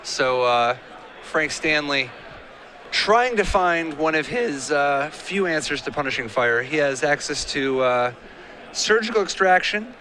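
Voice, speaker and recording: A man's voice: fairly high pitch, expressive, unhurried, bright, forceful tone, slight background noise. A man doing live game commentary, casual and joking, chatting with his co-host between plays. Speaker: color commentator. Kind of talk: live tournament commentary with banter.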